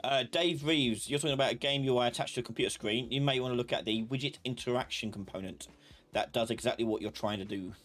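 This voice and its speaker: A man's voice: medium pitch, quick pace, a bit bright. Informal man teaching live, music behind. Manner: informal, muttering and humming while working. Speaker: host, software instructor. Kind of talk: casual live tutorial with thinking aloud.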